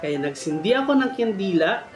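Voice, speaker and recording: A man's voice: sits high, sing-song, moderate pace, reverberant. A man hosting a story show, reading listeners' horror tales aloud. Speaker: storytelling host. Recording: music bed behind the voice. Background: faint music.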